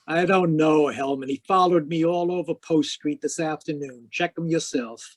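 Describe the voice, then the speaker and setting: A man playing a cynical private detective in 1940s radio noir style, wisecracking and deadpan as he talks and narrates. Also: a little high, moderate pace, lightly acted. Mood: sardonic. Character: cynical hard-boiled male private detective.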